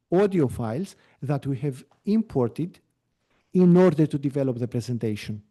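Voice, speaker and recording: A man whose first language is Greek, giving a live screen-shared software walkthrough on a video call in a relaxed, explanatory way, with fillers and self-corrections. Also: fairly high pitch. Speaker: webinar presenter.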